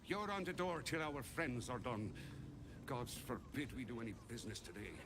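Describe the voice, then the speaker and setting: A man's voice: medium pitch, lively intonation, moderate pace, lightly acted. A man voicing a grumbling male merchant who bosses a helper and speaks sarcastically. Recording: distant game audio with noise.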